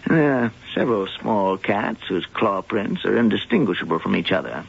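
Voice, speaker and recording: An actor playing a learned museum professor in a radio drama, speaking deliberately with hesitant pauses. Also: male voice, on the low side, lively intonation, gravelly, husky, exaggerated voice. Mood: scholarly, guarded. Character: learned, measured male museum professor, expert on cats. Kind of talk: old-time radio drama acting. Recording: dull old radio drama recording.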